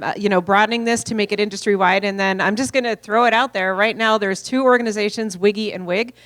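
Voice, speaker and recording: A woman's voice: mid-range voice, a bit bright, no room sound. Enthusiastic middle-aged woman giving welcome remarks. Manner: casual, enthusiastic, with fillers. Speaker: event speaker. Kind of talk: welcoming remarks at a conference lunch.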